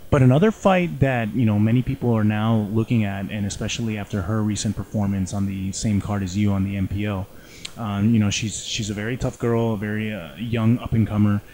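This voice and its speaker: Male interviewer, fairly low and mellow, casual rambling delivery padded with filler phrases. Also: fairly even intonation, fairly quick.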